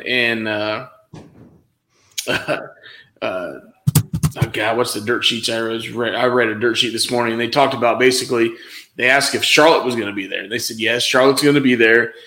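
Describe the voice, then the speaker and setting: Rambling male podcast co-host, mid pitch, recorded in a roomy echoey space. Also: normal speed, bright, forceful tone. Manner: rambling, lots of fillers and restarts. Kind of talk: casual wrestling podcast chat.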